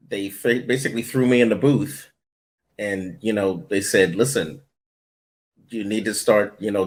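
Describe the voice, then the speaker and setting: Lively low-voiced male voice coach. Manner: animated storyteller who acts out booth directions. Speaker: voice-over audition director and coach. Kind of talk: casual interview talk.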